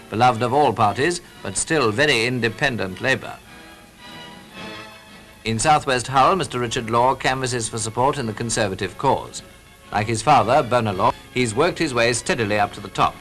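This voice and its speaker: A British man narrates a 1940s newsreel. His mid-pitched voice delivers scripted commentary on a vintage soundtrack, with music running behind him. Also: fairly bright tone.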